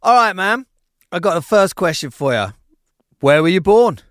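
A man's voice: high voice, expressive, moderate pace, a bit bright, no echo, studio quality. A man hosting a podcast in a jokey, matey and enthusiastic way, with casual swearing. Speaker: podcast host. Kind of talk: upbeat podcast presenting and casual chat.

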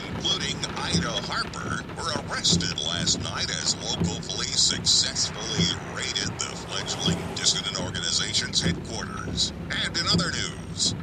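Distant, noisy 1930s radio newsman. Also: mid-range voice. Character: formal 1930s male radio newsreader. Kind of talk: 1930s radio news reading.

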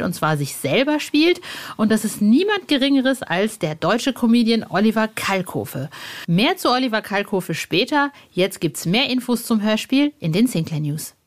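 A woman presenting a podcast in a chatty, excited, informal way, sprinkling in slang and English phrases. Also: medium pitch, normal speed. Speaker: podcast host.

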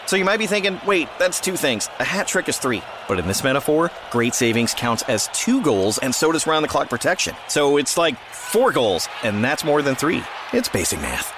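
A man performing a comedic advertisement, explaining things in an earnest way. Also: mid-range voice. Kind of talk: comedic ad monologue. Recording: clearly noisy background.

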